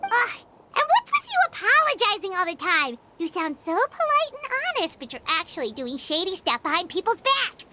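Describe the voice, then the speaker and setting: A woman voicing a small, cheeky, childlike fairy companion in a video game, blunt, excitable and full of suspicious questions. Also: very high-pitched, normal speed, heavy background noise, heavily acted. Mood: cheeky, indignant. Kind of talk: English game voice acting.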